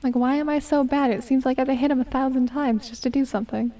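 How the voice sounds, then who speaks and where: A woman in her twenties, going hoarse as she chats casually and mutters to herself while streaming a game. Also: sits high, steady tone, quick pace, dark tone, distant mic. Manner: chatty, self-mocking, hums and mutters to herself. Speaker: gaming streamer. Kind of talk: casual gameplay commentary.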